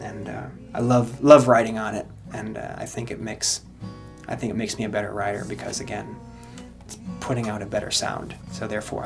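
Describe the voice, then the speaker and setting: A man, a country singer-songwriter, talking earnestly in a casual interview with lots of filler pauses. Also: fairly low pitch, vocal fry. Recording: music playing underneath.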